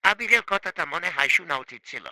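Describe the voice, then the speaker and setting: A man's voice: on the high side, animated, fairly quick, sharp tone, no echo. A man playing a stern, proud, middle-aged father in a cartoon, with an emphatic, complaining delivery. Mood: worried, regretful. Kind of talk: cartoon voice acting.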